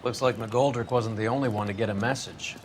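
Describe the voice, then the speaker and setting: An actor playing a cynical police detective, a dry, sardonic hard-boiled partner in a video game drama. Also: male voice, fairly low pitch, fairly even intonation, a little fast, slight background noise, lightly acted.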